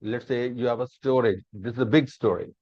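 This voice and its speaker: A man's voice: mid-range voice, a little fast, breathy edge, no room sound. A man, teaching a live online class on cloud computing and explaining step by step with many repeats and rhetorical questions. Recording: dull lo-fi dry online class audio.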